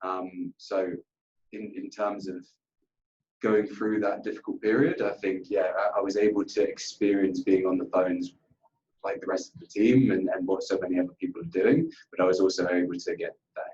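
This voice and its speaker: A man's voice: low voice, steady tone, moderate pace, a little mellow, lo-fi sound. A man answering questions in a workplace interview, earnest and conversational, often repeating himself and padding with "you know". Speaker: account manager being interviewed.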